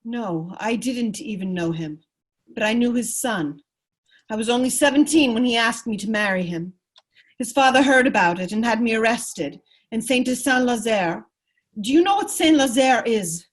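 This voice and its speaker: A husky, fairly high-voiced wife acted by a woman in a radio drama. She is proud but anguished, speaking with earnest, wounded appeal. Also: expressive, moderate pace. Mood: hurt, indignant. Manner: earnest, hurt, pleading. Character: anguished young wife, proud, pleading for her brother. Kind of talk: radio play table read.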